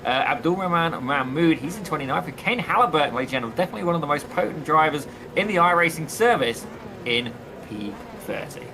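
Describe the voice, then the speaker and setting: Guy calling a sim race, kinda high voice, hammy and excitable, lots of hiss behind him. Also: quick pace. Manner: excitable, theatrical, keeps saying "ladies and gentlemen" and "indeed". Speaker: lead race commentator. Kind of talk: sim racing play-by-play commentary. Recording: noticeable background noise.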